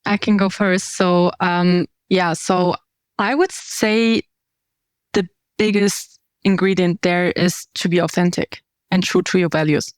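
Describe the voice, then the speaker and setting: Woman with a smooth, clear voice. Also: fairly low pitch, steady tone, measured pace, no echo. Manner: explanatory, searching for words, many fillers. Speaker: guest, community founder. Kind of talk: conversational podcast interview.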